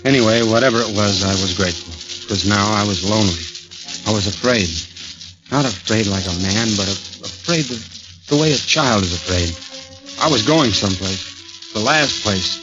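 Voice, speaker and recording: A man plays a working-class American prisoner facing death, telling his story in a low, brooding voice. The delivery is plain and confiding, in old radio style. Loud music sits behind the dull 1940s recording. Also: moderate pace, natural voice. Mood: afraid, lonely. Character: haunted working-class condemned man recounting his ordeal. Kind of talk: 1940s radio acting, first-person narration.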